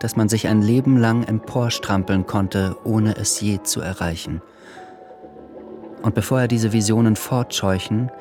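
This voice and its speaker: A man narrating a literary radio drama with measured, deliberate phrasing. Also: fairly low pitch. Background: music.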